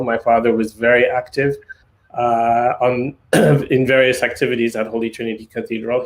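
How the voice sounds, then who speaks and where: A man in his fifties from Ethiopia, a deacon and historian answering interview questions in a measured, hesitant way. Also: mid-range voice, poor audio.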